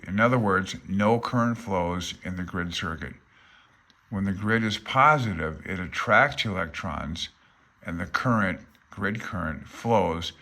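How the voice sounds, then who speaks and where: A man's voice: low voice, fairly bright tone. An older man giving an informal tutorial, reading from a handbook and adding hesitant, self-deprecating asides as an amateur hobbyist. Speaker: amateur radio hobbyist presenter.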